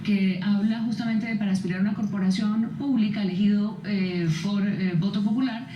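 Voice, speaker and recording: A woman's voice: mid-range voice, fairly even intonation, fairly quick, roomy sound, recorded from a distance, lo-fi sound, slight background noise. A woman co-hosting a TV news interview, probing with questions and stumbling over her words at times.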